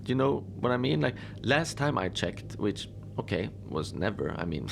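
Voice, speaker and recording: Over a noisy ambient bed comes an actor as a quirky male manager of a remote polar research station. His voice sits very low with a gravelly fry. He rambles conversationally and deadpan, full of hesitant asides, as if logging his shift for an audio drama. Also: moderate pace, heavily acted. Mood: bemused, chatty. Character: chatty, wry male Antarctic research facility manager, quirky and folksy. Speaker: host character of an audio drama, facility manager. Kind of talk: conversational monologue, log-style audio drama.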